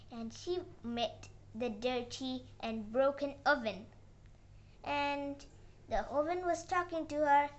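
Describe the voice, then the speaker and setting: A child narrating a moral fairy tale with halting, uneven phrasing and dramatic emphasis on the dialogue. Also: sits high. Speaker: storyteller.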